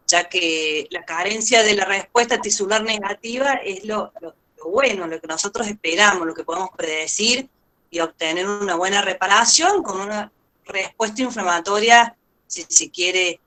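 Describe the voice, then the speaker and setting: A woman co-hosting an online dental surgery lecture answers questions from the chat. Her tone is bright and crisp. She explains at ease, pausing on fillers and repeating words. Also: medium pitch, low-quality recording. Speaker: oral surgery lecturer and webinar co-host. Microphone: video call.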